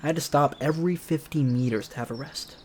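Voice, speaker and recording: A man's voice: fairly high pitch, fast, darkish tone, a little hiss or hum. A man narrating a visual novel aloud as a let's player, casual and slangy, with joking asides. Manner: jokey, slangy. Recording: music behind the voice.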